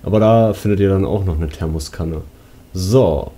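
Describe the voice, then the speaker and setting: Low, dark, husky male game commentator. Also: moderate pace. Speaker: let's play host.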